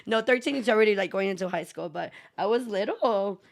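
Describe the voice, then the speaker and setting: A woman's voice: mid-range voice, fairly quick, creaky. A young woman from El Paso, a former teacher and guest on a podcast, talking casually, laughing often and swearing freely in a living room. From El Paso, Texas.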